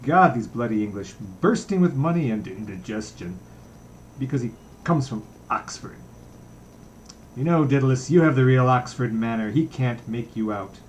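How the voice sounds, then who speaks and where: A man's voice: medium pitch, expressive, moderate pace, slight background noise, natural voice, low-quality recording. A man reading a story aloud, voicing a brash, mocking, jovial young man in a theatrical, teasing way. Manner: declaiming, mock-solemn, teasing.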